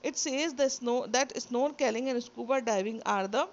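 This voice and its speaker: A woman's voice: fairly high pitch, moderate pace, dull audio. A woman teacher with an Indian accent, a native Sindhi speaker, reading a textbook story aloud and then explaining it line by line. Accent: Indian English. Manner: reads aloud then re-explains each line, switching into Hindi. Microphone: phone or screen recorder mic.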